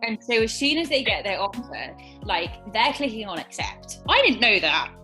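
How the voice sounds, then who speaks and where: A woman's voice: a little high, very animated, moderate pace, bright, forceful tone, faint music behind, poor audio. A young woman, a student, giving a casual, anecdotal answer in an interview. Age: young adult.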